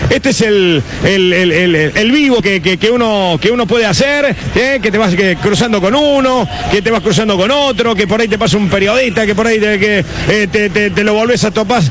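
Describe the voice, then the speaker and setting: A man with an Argentine accent reporting live for radio outside a stadium, chatty and excitable, with lots of fillers and restarts. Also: sits high, a little fast, hardly any pauses. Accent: Argentine Spanish. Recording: noisy outdoor live feed.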